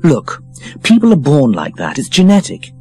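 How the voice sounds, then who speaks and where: A man's voice: on the high side, huge pitch swings, moderate pace, mellow, slightly rough, faint music behind, pitched above the performer's own voice, heavily acted. A man voicing a snobbish, scathing young woman, blunt and emphatic, for an audiobook. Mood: scornful, insistent. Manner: sneering, emphatic, cutting. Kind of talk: audiobook character voice.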